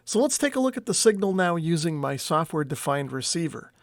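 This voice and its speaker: Higher-pitched man with a gravelly edge. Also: very animated, fairly quick, heavy background noise. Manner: step-by-step, tentative non-expert explaining. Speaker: radio hobbyist video host. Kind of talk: explanatory screen walkthrough.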